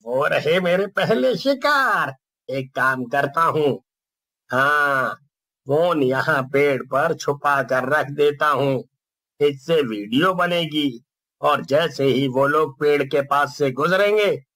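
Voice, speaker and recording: A man's voice: fairly high pitch, bright, forceful tone, dry recording, exaggerated voice. A man voicing a lazy, boastful young village man in a cartoon story, gleeful as he dreams of getting famous. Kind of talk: cartoon voice acting.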